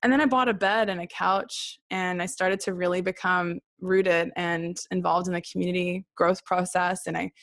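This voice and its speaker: A woman's voice: lowish voice, fairly even intonation, normal speed, hardly any pauses, a bit bright, no room sound. A woman from Australia, raised partly in Israel, telling personal stories casually and candidly as an interview guest. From born in Australia, raised in Israel and Brisbane. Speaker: podcast guest, singer-songwriter.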